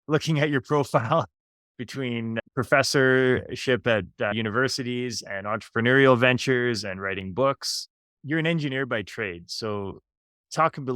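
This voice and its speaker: A man's voice: medium pitch, a bit bright, clear, resonant tone, no echo. A man hosting a podcast who reads an upbeat scripted introduction with emphasis, then asks interview questions. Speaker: podcast host.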